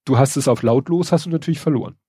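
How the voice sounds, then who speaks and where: Mann, verschnupft, rauchig-heiser, trockener Raumklang, locker und spöttisch plaudernd. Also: male voice, mid-range voice, very fast, mellow, husky, no echo, clean studio sound. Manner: wry, sarcastic, hesitant with many fillers. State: stuffy nose from a cold. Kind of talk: casual news chat.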